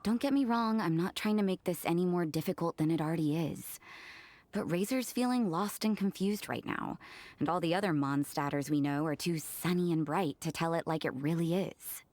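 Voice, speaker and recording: A woman's voice: fairly low pitch, moderate pace, a little mellow, no echo, close to an everyday voice. A woman playing a blunt, aloof young church sister in a video game, with a dry, unsentimental delivery. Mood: blunt, serious.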